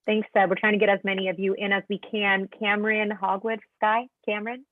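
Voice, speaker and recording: A woman's voice: medium pitch, little pitch movement, brisk, dry recording. A woman politely moderating a press event on a remote conference call. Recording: tinny, narrow phone-like line.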